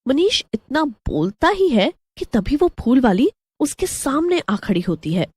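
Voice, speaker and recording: A woman's voice: high voice, sing-song, measured pace, darkish tone, husky, no echo. A woman narrating a scripted story as the storyteller.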